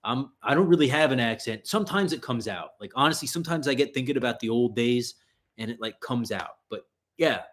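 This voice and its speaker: A man's voice: mid-range voice, animated, fairly quick, a bit bright. A man from the US tri-state area, hosting a casual livestream Q&A in a loose, profane, rambling way with lots of fillers and restarts. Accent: New York area. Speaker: livestream host answering viewer questions.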